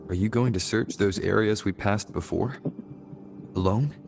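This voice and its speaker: Low-voiced, calm young adventurer. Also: male voice, normal speed, vocal fry, music in the background. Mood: calm, curious. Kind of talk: scripted video game dialogue.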